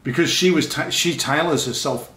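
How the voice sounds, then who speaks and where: A man's voice: a little high, normal speed, bright, forceful tone. A middle-aged man casually discussing books, explaining his opinions. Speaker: father reviewing books with daughter. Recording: echoey room.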